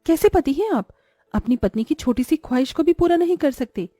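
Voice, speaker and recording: A woman playing a greedy, envious, sharp-tongued young wife and mother in a Hindi cartoon moral story. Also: high voice, lively intonation, fairly quick, no room sound, studio quality, soft background music. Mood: scolding, resentful.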